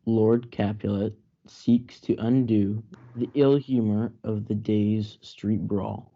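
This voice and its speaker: A man's voice: on the low side, fairly even intonation, slow pace. A young man reading scripted narration for a student reader's theatre play. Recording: dull lo-fi audio.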